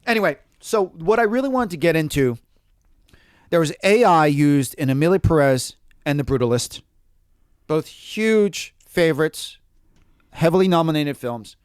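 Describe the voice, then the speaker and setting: Male podcast co-host, animated talker, crisp voice, dry close-miked sound. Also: fairly high pitch, moderate pace, no echo. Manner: animated, quotes people in acted asides. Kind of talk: casual podcast discussion.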